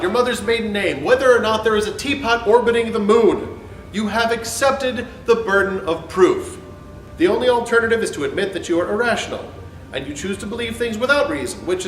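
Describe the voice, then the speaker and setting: A man's voice: high voice, fairly bright tone. Male reader, theatrical and emphatic, swooping delivery of a scripted argument, echoey and distant over music. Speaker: host reading his own essay. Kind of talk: dramatic reading of an argumentative script. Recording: roomy, off-mic sound with background music.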